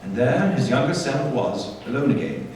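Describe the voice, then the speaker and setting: A man narrating a radio play as a storyteller, with theatrical, deliberate phrasing. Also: mid-range voice, lively intonation, a little mellow. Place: theatre stage. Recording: echoey theatre hall, distant mic, faint noise.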